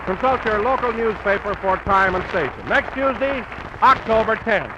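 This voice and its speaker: High-voiced 1930s American radio pitchman. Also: expressive, normal speed, very noisy. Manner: polished salesmanlike delivery. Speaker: radio commercial announcer. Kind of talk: 1930s radio advertising read. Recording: dull vintage 1930s radio broadcast.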